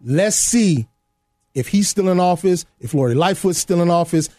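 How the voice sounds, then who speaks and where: A man with a fairly high voice talks emphatically on a political panel. He repeats himself and breaks in on others. The recording is dry and close. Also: lively intonation, normal speed, mellow, dry recording. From Illinois. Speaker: political talk show panelist. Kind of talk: casual political discussion.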